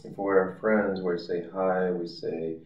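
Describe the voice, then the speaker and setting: A man from China answers interview questions slowly in a deep voice, stopping to search for words. He sounds far from the mic in an echoey room, and the recording is dull. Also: little pitch movement.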